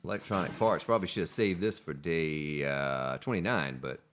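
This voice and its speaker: A man's voice: very deep voice, moderate pace, fairly bright tone, slight background noise. A man casually commenting on his gameplay as a let's play host, chatting and joking with the characters on screen. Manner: chatty, jokes at game characters.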